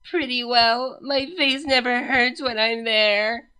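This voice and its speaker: A woman voicing a weary female pony princess who complains slowly and flatly of constant pain. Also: on the high side, clean tone, faint music behind, voice pushed up, rough recording. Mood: monotone misery. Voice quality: slow, droning, flat complaining.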